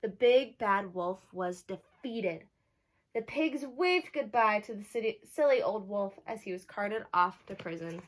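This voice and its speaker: A woman storyteller reading a storybook aloud to children, expressive, with asides and questions to her young listeners. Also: medium pitch, a little slow, poor audio.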